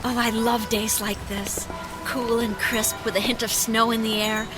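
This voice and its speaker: A woman's voice: a little high, little pitch movement, normal speed, close to an everyday voice, poor audio. An actress playing an earnest, playfully teasing young woman friend in a family radio drama. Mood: cheerful, wistful. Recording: music under the dialogue.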